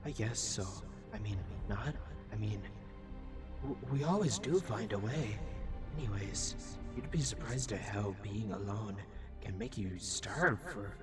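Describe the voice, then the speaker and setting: A man's voice: lowish voice, measured pace, natural voice. A man voicing a lonely, chatty robot scout in a comic dub, hesitant, rambling and eager to please, with music behind. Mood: awkward, agreeable.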